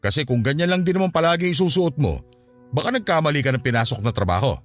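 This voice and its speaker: An actor playing a suspicious, controlling, stern boyfriend in a Tagalog radio drama, questioning in a domineering way. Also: male voice, a little high, sing-song, fast talker, soft background music. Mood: stern, disapproving. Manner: interrogating, domineering.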